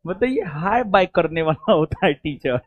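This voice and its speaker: Guy, coaching teacher, highish voice, rambly chatty class talk. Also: lively intonation, a little slow, narrow, tinny audio. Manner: chatty, rambling, repeats "theek hai". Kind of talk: informal classroom talk to students.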